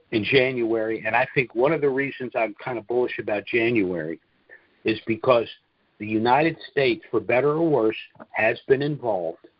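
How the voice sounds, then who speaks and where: Husky man briefing on a dull-sounding call. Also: mid-range voice, no echo. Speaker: conference call host and presenter. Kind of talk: informal monologue briefing on a conference call. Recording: dull, lo-fi conference call audio.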